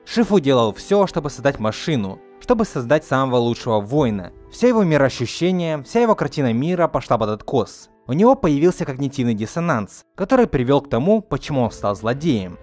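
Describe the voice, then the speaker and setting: A young man delivers an opinionated video essay with lively, swooping intonation. He argues his points emphatically, at a middle pitch, with light music underneath. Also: a little fast, few pauses. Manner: argumentative, emphatic. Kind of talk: opinionated film critique narration. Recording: music behind the voice. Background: faint background music.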